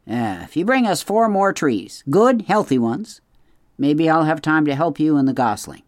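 Reader voicing a grumpy stubborn dad beaver in a storybook, gruff and mutter-y, pitched up a bit. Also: very animated, moderate pace, a little mellow, dry recording, clean studio sound, lightly acted. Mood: grumbling. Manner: gruff, muttering, hesitant hmms.

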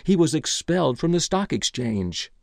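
An embarrassed, anxious gentleman as a male narrator plays him in an audiobook. His pitch sits high and jumps about as he hesitates and over-explains himself. The sound is dry and close. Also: sing-song, normal speed, vocal fry, dry recording. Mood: explaining. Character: flustered, embarrassed, nervously babbling gentleman. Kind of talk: audiobook character voice.

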